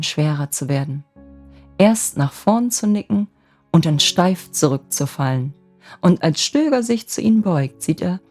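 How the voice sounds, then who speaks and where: Low, gentle woman storyteller. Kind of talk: scripted bedtime fairy tale narration. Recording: music bed under the voice.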